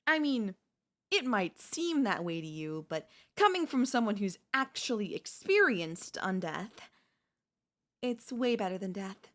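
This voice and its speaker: A woman's voice: mid-range voice, big pitch swings, a little slow, fairly bright tone. A woman voicing a chatty female zombie who cheerfully and conversationally coaxes in a comedic audio roleplay. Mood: placating, coaxing. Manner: conversational, coaxing, wheedling. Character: chatty, cheerfully persuasive female zombie craving human flesh.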